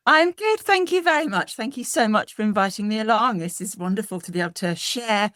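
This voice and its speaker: A middle-aged woman, a guest telling stories in a lively, animated way in an interview. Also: mid-range voice, a little fast, dry recording, clean studio sound. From Cornwall. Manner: lively, frequent laughs, animated storytelling. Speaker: guest, Cornish translator. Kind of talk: conversational interview.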